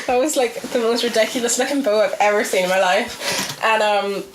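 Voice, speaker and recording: Chatty woman, higher-pitched, echoey room. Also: brisk. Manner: chatty, animated, giggly delivery with quoted asides. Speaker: podcast guest, actor and film extra. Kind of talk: casual anecdotal storytelling. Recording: echoey room, faint background noise.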